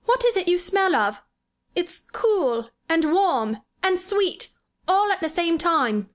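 A woman's voice: extremely high pitch, very animated, takes it slowly, pitched above the performer's own voice, heavily acted. A woman voicing a sickly young boy, delighted and full of wonder.

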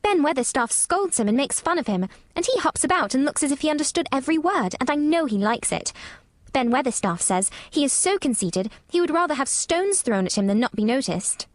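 A woman's voice: high voice, very animated, fairly quick, dry recording, pitched above the performer's own voice. A woman voicing an eager, earnest young English girl in a storybook, with proper, careful child's diction. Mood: delighted.

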